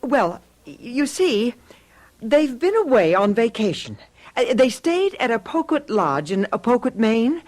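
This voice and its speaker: Radio drama wife: high-pitched, worried, halting, with big emotional swings in pitch. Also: female voice, heavily acted. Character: anxious, hesitant wife worried about missing neighbours. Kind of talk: old-fashioned radio drama acting.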